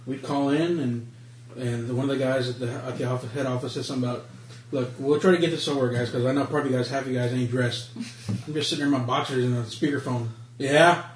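A man's voice: mid-range voice, fairly even intonation, fast, a little hiss or hum. A man chatting among friends at a game table, laughing his way through an anecdote. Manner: laughing storyteller, drawling anecdote. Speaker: player. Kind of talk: casual chat among friends. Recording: echoey room, distant mic.

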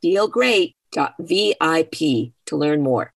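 Gravelly-voiced woman reading a radio ad. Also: expressive, slow, no room sound. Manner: chatty testimonial pitch. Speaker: executive producer reading an ad. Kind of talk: scripted radio ad read.

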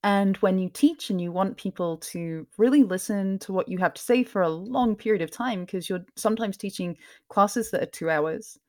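A woman from Scotland with a mixed, mostly English accent, speaking softly in a lowered tone as a guest in a casual interview, laughing often. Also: normal speed. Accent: mixed, mostly English with some Scottish. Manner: soft lowered tone, laughs often, many ums. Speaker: podcast host, guest.

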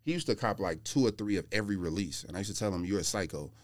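A man's voice: low voice, animated, rapid-fire, dry recording, studio quality. A man talking casually and anecdotally on a podcast, with everyday slang. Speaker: podcast guest. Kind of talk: casual conversational chat.

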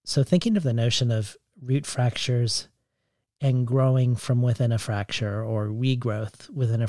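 A man interviewing a poet, asking a long, reflective question in a measured, hedging way. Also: mid-range voice, darkish tone, no echo, clean studio sound. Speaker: interviewer, podcast host.

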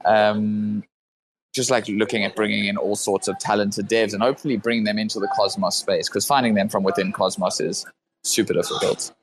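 A man's voice: on the low side, steady tone, a little fast, rough recording. A man with a South African accent hosting a casual online audio chat, talking loosely with many fillers and restarts. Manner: filler-heavy, restarts often. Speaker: host. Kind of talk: casual Twitter space chat.

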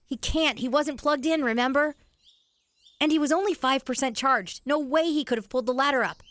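A woman's voice: high voice, fairly quick, fairly bright tone, no room sound. A woman playing a practical, sceptical young boy in a family audio drama.

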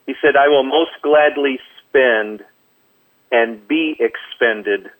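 A man speaking as a ministry guest in an interview over a telephone, explaining things in a measured way. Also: medium pitch. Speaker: ministry director, radio guest. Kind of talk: interview answers. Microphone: telephone call. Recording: tinny narrow-band phone line.

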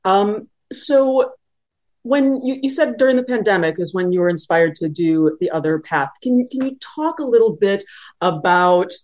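A woman's voice: mid-range voice, expressive, normal speed, a little mellow. A woman podcast interviewer introducing and questioning a guest in a conversational way.

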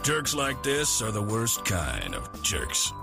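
Sarcastic low male ad voice over music. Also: wildly swooping pitch, takes it slowly, gravelly. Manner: scornful, sarcastic. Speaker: ad narrator. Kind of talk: scripted mocking ad narration.

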